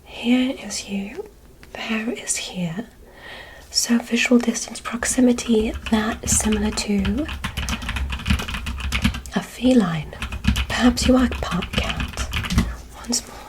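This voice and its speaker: A woman playing a curious, gently condescending alien medical examiner in an ASMR roleplay, speaking in a soft, breathy, measured whisper with clinical instructions. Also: fairly high pitch, heavy background noise. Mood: curious, soothing. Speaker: ASMR performer.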